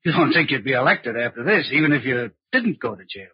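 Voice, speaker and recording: A man with an American accent playing a sly, menacing blackmailer in an old radio drama, husky and sardonic. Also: mid-range voice, very animated, fairly quick, no room sound. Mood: coolly sardonic. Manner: insinuating, sardonic. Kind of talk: 1940s radio drama acting. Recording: muffled old radio recording.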